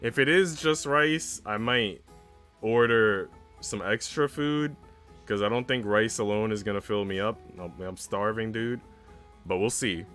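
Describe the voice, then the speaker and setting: A man's voice: lowish voice, a little slow, fairly bright tone. A young man streaming, chatting casually in a slangy, laid-back way. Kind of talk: casual livestream chat. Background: music.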